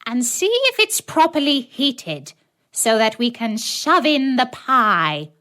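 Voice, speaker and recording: A woman voicing a wicked, greedy, bossy old witch in a children's fairy tale, jeering and commanding with drawn-out words. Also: fairly high pitch, very animated, sharp tone, voice pushed up, full character voice.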